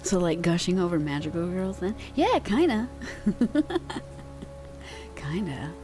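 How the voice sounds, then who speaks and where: A woman's voice: on the low side, sing-song, slow, warm tone, faint music behind. A young woman streamer chatting casually with her viewers in a slangy, laid-back way, using pet names, on a close microphone.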